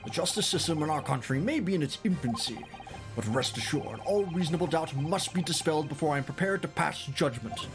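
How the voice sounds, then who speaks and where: Streamer playing a stern judge, commanding, formal, pitched up, game music behind. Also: lively intonation, fairly quick, lightly acted. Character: stern old court judge. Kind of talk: reading game dialogue aloud.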